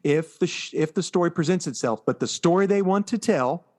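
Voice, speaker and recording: A man's voice: fairly high pitch, expressive, fairly quick, a little mellow. An American man co-hosting a podcast, chatting casually and with enthusiasm about pop culture. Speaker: podcast co-host.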